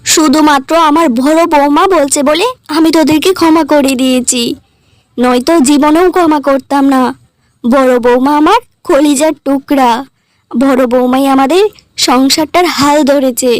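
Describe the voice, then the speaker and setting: A woman's voice: high-pitched, steady tone, moderate pace, no echo, natural voice. A woman voicing a stern, scolding older mother-in-law in a cartoon drama. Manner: scolding, reproachful. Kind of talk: cartoon dialogue.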